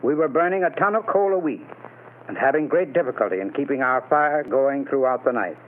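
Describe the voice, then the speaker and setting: A man delivering a 1930s radio sponsor pitch as an announcer, in a formal, emphatic, declamatory way. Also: lively intonation, a little fast, slight background noise. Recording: tinny, narrow vintage radio recording.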